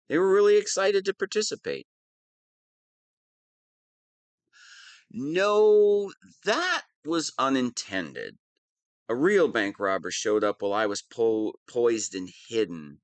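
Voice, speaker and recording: A man playing a whiny, hapless, soft-hearted wannabe super-villain in a scripted audio roleplay, self-pitying and prone to dramatic swings. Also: mid-range voice, no room sound, natural voice. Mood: animated, bemused. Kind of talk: scripted one-sided audio roleplay monologue.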